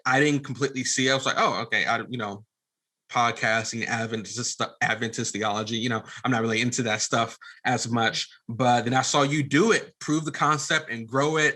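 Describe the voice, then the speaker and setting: A man's voice: medium pitch, bright, forceful tone. A man talking as a podcast guest in a casual storytelling style, earnest and rambling a little. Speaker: podcast guest, filmmaker and former pastor.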